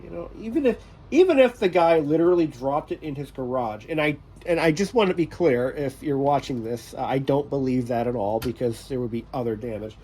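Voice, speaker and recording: A man's voice: medium pitch, animated, fairly quick, a little mellow, husky. A man working as a repairman and hosting his own vlog, talking casually and thinking aloud as he works. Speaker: radio repairman and video host.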